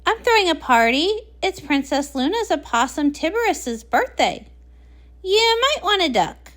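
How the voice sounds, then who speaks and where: A woman's voice: high-pitched, big pitch swings, a little slow, fairly bright tone, exaggerated voice. A woman voicing a bubbly, scatterbrained female party pony, bouncy and chatty, in a cartoon comic read-along. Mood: cheerful, bubbly.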